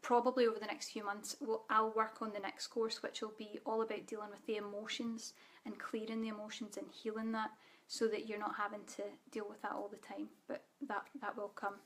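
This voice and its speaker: Soft-spoken Scottish woman, even tone. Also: medium pitch, a little fast, few pauses. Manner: soft guided-meditation delivery with fillers. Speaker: spiritual teacher. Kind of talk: live online group training.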